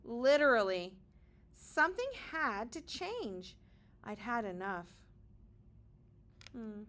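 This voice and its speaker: A woman with a slightly high voice reads a storybook aloud for small children. She takes her time, speaking very slowly and deliberately. Key words get dramatic emphasis, and she adds short asides to explain the pictures. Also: big pitch swings, fairly bright tone. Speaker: kindergarten teacher reading aloud. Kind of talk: expressive children's picture-book read-aloud.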